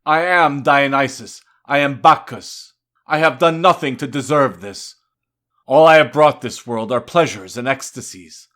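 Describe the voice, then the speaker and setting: A man plays a god of wine and excess in a dramatic poem. The voice is sharp and forceful, at a medium pitch. He declaims with grandiose, insistent pride, boastful and defiant. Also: a little slow.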